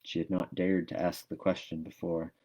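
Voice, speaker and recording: A man reading a novel aloud as narrator, with deliberate, dramatic emphasis. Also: deep voice, flat delivery, warm tone. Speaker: storybook reader. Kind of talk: expressive audiobook-style narration.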